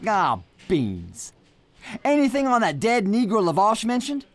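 An actor playing an eager, chatty, prying police lieutenant with a folksy manner in a radio drama. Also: male voice, high voice, wildly swooping pitch, a little slow, dry recording, heavily acted. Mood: nosy.

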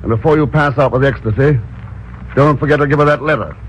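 An actor playing a calm, wry secret agent with a dry, understated delivery, in a 1950s radio drama. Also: male voice, medium pitch, fast talker, a little hiss or hum, lightly acted. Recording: dull lo-fi old radio recording.

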